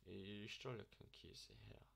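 A man's voice: fairly low pitch, big pitch swings, moderate pace, vocal fry, fairly bright tone, slightly rough. A young man, casually commenting on a farming game while mumbling to himself, and he has a cold. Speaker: gaming channel host.

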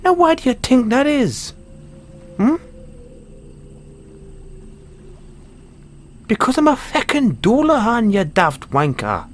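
A man's voice: extremely high pitch, very animated, a little slow, full character voice. A man with a British accent playing a cocky, foul-mouthed, stammering Dullahan social media wannabe in a comedic audio roleplay. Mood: outraged, boastful. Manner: stammering, sweary, boastful.

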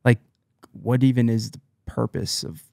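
A young adult man, a guest on a podcast, talking casually in a hesitant, halting way. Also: mid-range voice, creaky, dark tone, no echo, studio quality. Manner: hesitant, halting, many restarts and fillers. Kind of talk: casual chat. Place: small cozy studio room, indoors. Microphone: studio podcast mic on boom arm.

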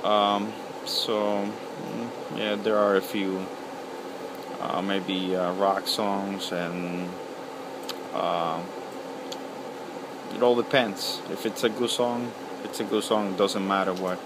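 A man in his thirties, from El Salvador, giving a halting, casual video reply outdoors beside a house, with lots of ums and uhs. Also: fairly low pitch, little pitch movement, heavy background noise. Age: 30s. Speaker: pipe-smoking video blogger. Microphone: webcam at close range.

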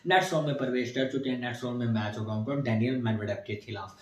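A young man talks straight to camera in a small room, running through set scores in quick, energetic lists. His voice sits at an ordinary middle pitch. The room around him is bare and echoey, with the camera a little way off. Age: young adult. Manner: energetic, recaps scores in quick lists, gestures while talking. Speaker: sports channel host. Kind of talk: post-match tennis analysis to camera.

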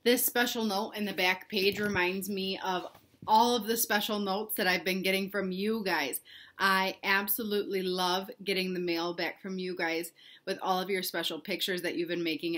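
Young lady doing a kids read-aloud at home, bright voice, expressive, asks the kids simple questions. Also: mid-range voice. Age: young adult. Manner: expressive, simple child-directed talk with questions. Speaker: children's read-aloud host, teacher. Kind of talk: animated picture-book read-aloud for young children. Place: living room at home, indoors.

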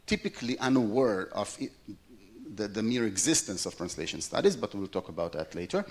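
A middle-aged man whose first language is Hebrew, giving a plenary conference lecture in a large hall, with many fillers and restarts. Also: mid-range voice. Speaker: plenary speaker.

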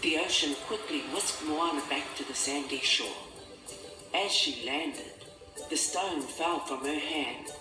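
A man reading a storybook as narrator in a measured, theatrical, dramatic style. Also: fairly high pitch. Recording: distant, roomy sound under loud music. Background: loud music.

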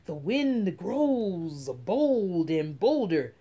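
A middle-aged woman reading a children's picture book aloud as story time host, with dramatic, drawn-out emphasis. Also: fairly low pitch, sing-song, dark tone. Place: small room at home.

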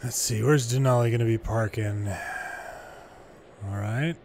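A man's voice: mid-range voice, slow pace, a little mellow. A man hosting gameplay as an air traffic controller, mixing clipped procedural calls with casual asides. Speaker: gaming channel host playing an air traffic controller. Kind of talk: controller phraseology and gameplay commentary.

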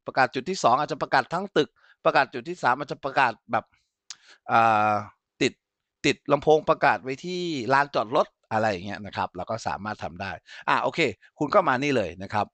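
Male instructor for an informal tech tutorial, mid-pitched, dry close sound, explanatory and checking in with learners. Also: animated, fairly quick, a bit bright, no room sound. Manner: explanatory, frequently checks that listeners follow. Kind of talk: informal software tutorial with live demonstration.

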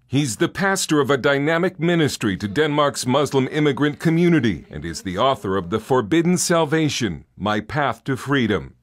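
A man narrating a scripted television news report in a measured reporter's delivery. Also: mid-range voice.

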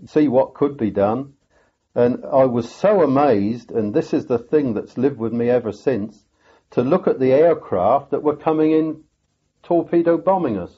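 Older male veteran, husky voice, halting and reflective, lo-fi documentary sound. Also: medium pitch. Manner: halting, many restarts and pauses. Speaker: veteran sailor recounting a battle. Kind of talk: reflective interview recollection.

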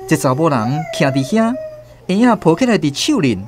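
A Taiwanese man narrates a radio drama with expressive, lively intonation. He reads as a storyteller, with clearly noisy ambient sound effects behind his voice. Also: on the high side, brisk. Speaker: narrator and host.

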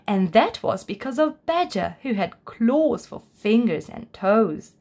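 A woman reads a story for small children. Her voice sits high and moves up and down with lots of expression. She takes her time, shaping each phrase deliberately. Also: a little mellow. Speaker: storyteller reading a picture book aloud. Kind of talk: scripted children's story narration.

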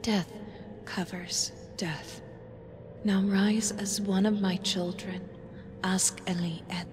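A woman playing a grave, commanding moon goddess in a fantasy audio drama, whispery, solemn and halting, as if pronouncing prophecy. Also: mid-range voice, level tone, background noise, close to an everyday voice.

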